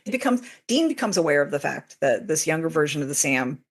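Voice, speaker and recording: A woman's voice: low-pitched, big pitch swings, fast. A woman talking casually as an interview guest about her own writing. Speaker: podcast guest, fanfiction author.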